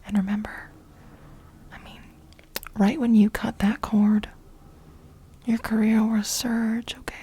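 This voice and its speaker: Breathy whispering woman, soft and coaxing. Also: fairly high pitch, little pitch movement. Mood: apologetic, coaxing. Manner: halting, coaxing delivery with repeated phrases. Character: obsessive, fawning female lab assistant with a crush. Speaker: ASMR role-play performer. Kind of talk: soft-spoken whispered ASMR role play.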